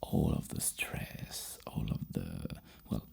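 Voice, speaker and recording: A person doing a soft-spoken ASMR roleplay as a psychologist, asking questions in a halting, rephrasing way with a breathy, husky whisper close to the microphone. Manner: hesitant, repeats and rephrases questions.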